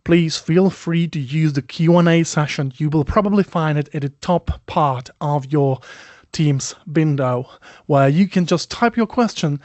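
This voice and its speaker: A man's voice: a little high, a little slow, darkish tone, dry recording. Chatty non-native English-speaking man presenting. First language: not English. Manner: chatty, self-deprecating, many "you know" fillers. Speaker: webinar presenter. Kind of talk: conversational product presentation.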